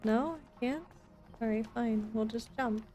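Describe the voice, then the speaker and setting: A woman's voice: a little high, unhurried, mellow. A woman giving casual, chatty and reactive live commentary while playing a video game. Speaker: game streamer. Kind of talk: casual live gameplay commentary, reading in-game notes aloud. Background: video game music.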